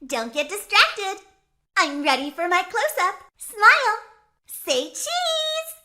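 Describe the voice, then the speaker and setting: A woman's voice: extremely high pitch, animated, takes it slowly, pushed and bright, echoey room, heavily acted. A woman voicing a childlike, mischievous, attention-loving little animatronic in a video game, playful and sing-song. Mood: cheeky. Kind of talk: video game character lines.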